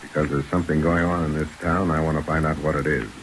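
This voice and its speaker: An actor playing a tough, cool-headed detective in an old radio drama, speaking in a dry, laconic, sardonic way. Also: male voice, very deep voice, level tone, quick pace, slight background noise. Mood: calm, dry. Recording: old radio recording under rain sounds.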